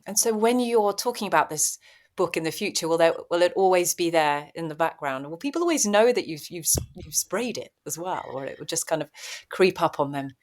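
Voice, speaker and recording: Lower-voiced woman podcast host. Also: animated, fairly quick. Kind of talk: casual interview.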